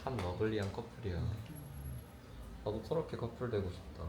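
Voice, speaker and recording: A man's voice: fairly low pitch, very fast, heavy background noise. A young man with a Korean accent, chatting and teasing in a casual vlog with frequent laughter. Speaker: vlogger. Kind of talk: casual chat.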